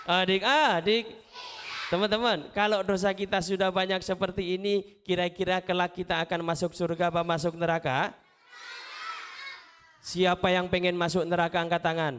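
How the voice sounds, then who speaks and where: A man giving an animated, interactive Islamic motivational talk to schoolchildren, asking questions and repeating phrases. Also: high voice, normal speed, a little hiss or hum. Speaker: children's storyteller and motivational speaker. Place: live event at a school before children.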